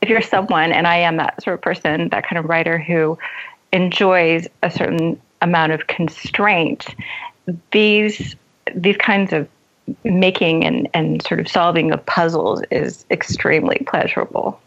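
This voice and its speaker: A woman with a fairly low voice speaks as a guest author in an interview. She is articulate and thoughtful, reflecting on her craft. The recording is a little dull and lo-fi. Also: measured pace, gravelly, a bit bright. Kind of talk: reflective interview conversation about writing.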